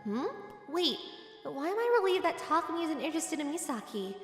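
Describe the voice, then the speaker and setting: Woman acting high-pitched huffy schoolgirl Yuri. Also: very animated, brisk, faint music behind. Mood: quietly conflicted. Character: Yuri, tsundere teenage girl, jealous childhood friend in denial. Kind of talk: manga dub romcom acting.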